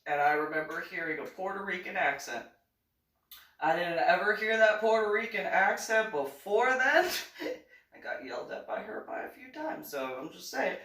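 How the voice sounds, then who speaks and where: A woman's voice: on the low side, moderate pace, far from the mic. A woman veteran telling a personal story in a casual monologue, chuckling often and acting out the other people in it. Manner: animated, chuckles often, acts out other voices. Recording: echoey room, voice off-mic.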